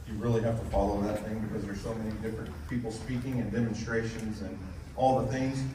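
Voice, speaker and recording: A man's voice: on the low side, level tone, quick pace. A man making informal announcements to an audience and introducing a speaker. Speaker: event organizer. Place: large event hall. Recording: echoey PA in a big hall from far off, crowd noise.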